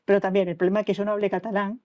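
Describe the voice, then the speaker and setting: A woman's voice: mid-range voice, rapid-fire, highs cut off. A middle-aged Uruguayan woman with a Uruguayan Spanish accent, an anesthesiologist telling stories in an interview and acting out what people said to her. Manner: animated storytelling, acts out quoted dialogue. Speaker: anesthesiologist guest. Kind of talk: conversational interview.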